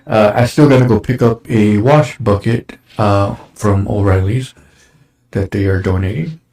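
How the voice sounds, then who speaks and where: A man in his forties, hosting a casual chat show, his voice a little raspy from dust, rambling along with lots of fillers. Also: on the low side, measured pace, darkish tone. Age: 40s. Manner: rambling, with many fillers and restarts. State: slightly raspy from breathing dust. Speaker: show host. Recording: roomy echo.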